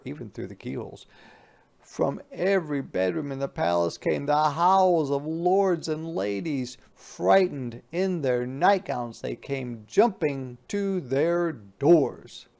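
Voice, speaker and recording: A man reads a storybook aloud in an expressive, theatrical way. His voice sits a little high with plenty of fry. He drops into quieter asides to explain words and pose questions. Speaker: teacher reading a picture book aloud.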